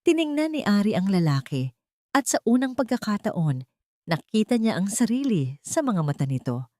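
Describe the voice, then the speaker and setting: A woman's voice: mid-range voice, very animated, moderate pace, mellow. A woman narrating a scripted audio drama in a solemn, melodramatic manner.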